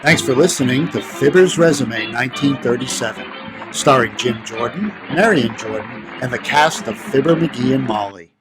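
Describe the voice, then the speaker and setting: A man's voice: mid-range voice, moderate pace, rough recording. A man hosting a radio show, reading a scripted sign-off. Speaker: host. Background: music clearly heard under the voice.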